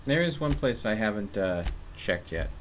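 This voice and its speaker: A man's voice: lowish voice, expressive, moderate pace, slight background noise. A man streaming a video game, thinking aloud in a casual way with mild frustration. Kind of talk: casual live gameplay commentary.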